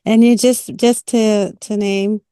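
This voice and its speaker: Mellow woman hosting, dry close sound. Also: mid-range voice, fairly even intonation, takes it slowly, smooth, no room sound. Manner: affirming, effusive. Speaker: interview show co-host. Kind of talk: casual interview hosting.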